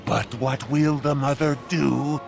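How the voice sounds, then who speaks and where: An actor playing a calm servant in a fantasy game, placating a mad mistress in a measured way. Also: male voice, on the high side, creaky, over music. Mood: soothing.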